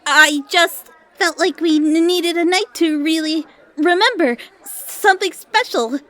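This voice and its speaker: A woman voicing a nervous, stammering, lovestruck female monster in a squeaky story-reading voice. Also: fairly bright tone. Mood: flustered. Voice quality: high, squeaky. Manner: stammering, halting, anxious. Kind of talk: dramatic fan fiction reading.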